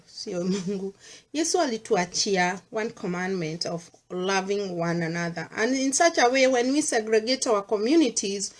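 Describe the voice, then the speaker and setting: A middle-aged Kenyan woman with a Kenyan accent, speaking earnestly in a personal monologue and mixing English with Swahili. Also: fairly high pitch. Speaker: vlogger sharing personal views.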